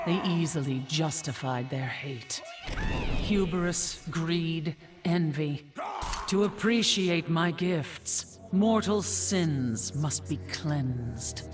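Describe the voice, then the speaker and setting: An actress playing a stern goddess of light who speaks in a serene, preaching manner. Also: female voice, fairly low pitch. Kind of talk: game cutscene narration.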